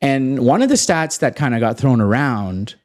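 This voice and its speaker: A man's voice: medium pitch, moderate pace. Emphatic Toronto man telling his story. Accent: North American English. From Toronto, Canada. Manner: animated storyteller, emphatic and self-assured. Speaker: guest, space company founder. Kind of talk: casual podcast interview.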